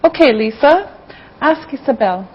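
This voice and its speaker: A woman's voice: high-pitched, lively intonation, measured pace, slight background noise. A woman playing an encouraging English teacher, giving carefully enunciated classroom instructions and dictation. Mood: patient, instructive. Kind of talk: scripted classroom lesson with dictation. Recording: slightly dull lo-fi audio.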